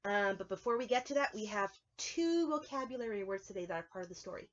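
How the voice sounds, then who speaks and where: A woman's voice: medium pitch, expressive, fast talker, muffled recording. A woman preschool teacher giving a lesson to young children, explaining things simply and step by step.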